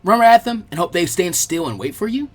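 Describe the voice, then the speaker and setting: A man voicing a friendly, bookish teenage girl who is chatty, practical and gently bossy, in a story reading. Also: high voice, big pitch swings, fast. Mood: instructive.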